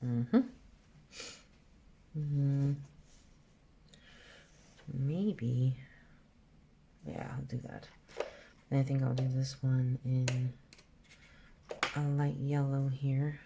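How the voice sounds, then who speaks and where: A woman's voice: a bass voice, extremely slow, long pauses, a little hiss or hum. A woman in her forties chatting casually while she draws, thinking aloud and trailing off mid-sentence. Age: 40s. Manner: relaxed, self-talk about the colors. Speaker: art vlogger. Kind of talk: casual chat while drawing.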